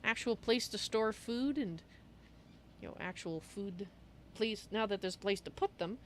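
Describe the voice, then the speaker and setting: A woman voicing a gentle, fussy female cat-folk traveler in a game roleplay, musing aloud about herself in the third person. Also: medium pitch, measured pace, lightly acted. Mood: cheerful. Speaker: livestream roleplayer. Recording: steady background noise.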